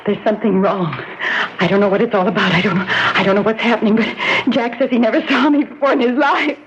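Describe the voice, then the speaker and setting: A woman's voice: mid-range voice, steady tone, quick pace, background noise, close to an everyday voice. An actress playing a frightened, bewildered young woman in a melodramatic radio drama, pleading and repeating herself breathlessly. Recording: dull lo-fi old radio recording.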